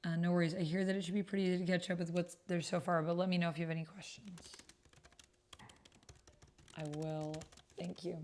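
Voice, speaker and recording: A woman's voice: lowish voice, flat delivery, brisk, long pauses, a little mellow. A woman streamer chatting casually and thinking aloud as she hosts an online roleplaying game, sometimes muttering to herself. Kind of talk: casual livestream chat while playing.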